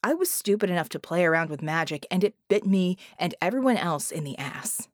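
A woman voicing a bitter, guilt-ridden man in an audiobook, gruff and emphatic in manner. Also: lowish voice, animated, a little fast, no room sound, clean studio sound, lightly acted. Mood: bitter, haunted. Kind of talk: dramatized audiobook reading.

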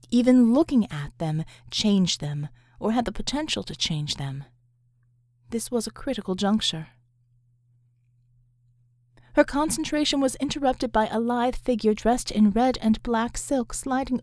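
A woman reading dramatic fiction as an audiobook narrator, in a measured, deliberate way. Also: fairly high pitch, darkish tone, dry recording, studio quality. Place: close, dry recording space.

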